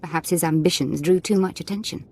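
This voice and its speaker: Husky, sweetly cruel Sith lady. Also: on the low side, fast talker, darkish tone. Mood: imperious, menacing. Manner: sweetly mocking, imperious. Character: menacing, playfully sadistic female Sith lord. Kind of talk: scripted game dialogue.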